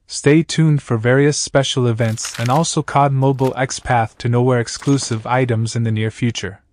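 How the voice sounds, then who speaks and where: A man's voice: medium pitch, steady tone, moderate pace, a little mellow, a little hiss or hum. A man hosting a gaming channel, reading a scripted news update as narration.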